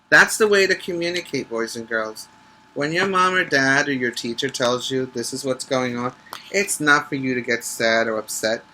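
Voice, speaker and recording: A man who teaches preschool, reading a picture book aloud emphatically, stretching key words and pausing to explain things to the children. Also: mid-range voice, bright, forceful tone, low-quality recording. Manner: instructive, checking in with listeners.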